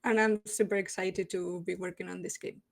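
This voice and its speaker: A woman's voice: on the low side, steady tone, a little fast, gravelly. Upbeat woman from Spain. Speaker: programmer.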